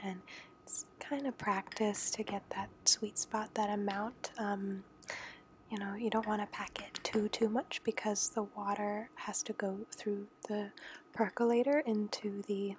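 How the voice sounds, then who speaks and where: A woman whispers slowly and softly, walking through each step in an unhurried way. She is recorded close up in a home kitchen, with clear background noise under her voice. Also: medium pitch. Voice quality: soft unvoiced whisper. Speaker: home coffee maker demonstrating. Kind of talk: whispered ASMR tutorial.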